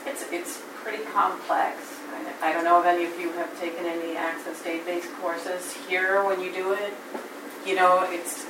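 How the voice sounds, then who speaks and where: A woman giving an informal career talk to a class in an encouraging, conversational way, often repeating her phrases. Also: fairly low pitch. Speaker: guest speaker giving career advice to students. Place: indoor room with an audience. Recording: echoey room, distant mic, background noise.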